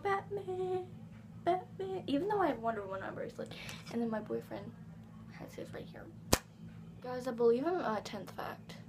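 A woman's voice: high-pitched, animated, moderate pace, long pauses, very noisy. A fourteen-year-old girl from England with a slight Southern US accent, chatting bubbly to camera at home with lots of ums. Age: teens. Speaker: vlogger. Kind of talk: casual chat to camera. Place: living room at home, indoors. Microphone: device camera on table.

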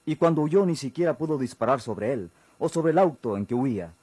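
A Mexican actor playing a stern, dismissive police chief in a golden-age radio drama, speaking formally and with curt authority. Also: male voice, mid-range voice, lively intonation, a little fast, a little mellow, husky, no echo. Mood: blunt, authoritative.

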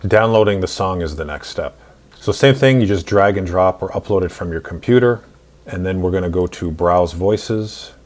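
A man in his forties narrating a screen-recorded software tutorial in an instructional, step-by-step way. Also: sits low, moderate pace. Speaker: tutorial host.

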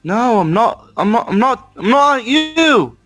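Pria muda beraksen Aljazair, defensif dan suka mengumpat, nada tinggi dan naik-turun, lewat panggilan video. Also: male voice, extremely high pitch, very animated, measured pace, fairly bright tone, soft background music. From Algeria. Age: young adult. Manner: defensive, swearing. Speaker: video chat stranger. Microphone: video call.

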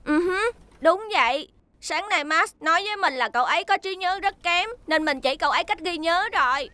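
Tiny playful kid-like cartoon chopper, super high and bright, eager. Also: female voice, animated, normal speed, dry recording, exaggerated voice. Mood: teasing. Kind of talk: animated cartoon dub.

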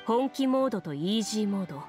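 A composed young woman game master played by an actress in an anime game. Her delivery is calm and formal, with deliberate explanations and storytelling at a middle pitch, over music. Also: close to an everyday voice. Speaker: game master narrating a tabletop game. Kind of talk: anime video game acting, storytelling narration. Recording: music under the voice.

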